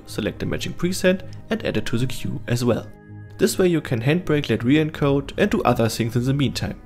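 A man reading scripted step-by-step instructional narration as a tutorial host. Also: medium pitch. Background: background music.

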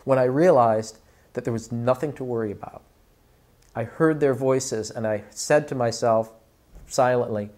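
A man in his forties speaking deliberately and articulately in a reflective interview as an audiobook director. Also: mid-range voice, a little mellow. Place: small office, indoors. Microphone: lapel mic.

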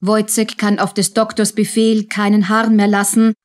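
A woman's voice: mid-range voice, flat delivery, moderate pace, darkish tone. A woman reading scripted narration as the narrator of a radio drama.

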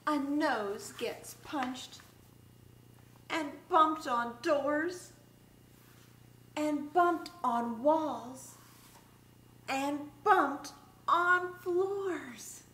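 A middle-aged woman with a fairly high voice reads a children's picture book aloud like a teacher. She goes extremely slowly and expressively, stretching out words for young listeners. The room is echoey, recorded from a short distance. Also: sharp tone. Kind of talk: children's story time read-aloud. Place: small indoor room set up as a classroom. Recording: roomy echo, background room noise.

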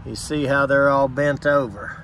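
A man working as an HVAC technician, giving a plain, unhurried on-site explanation outdoors beside air conditioner units, recorded on a handheld phone camera. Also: mid-range voice, fairly even intonation, a bit bright, a little hiss or hum. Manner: plain, unhurried, points things out step by step.

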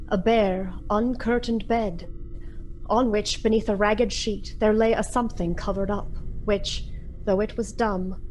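A woman narrating a radio play in a solemn, literary, dramatic storytelling style with deliberate phrasing. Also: mid-range voice, a little mellow, slight background noise, low-quality recording.

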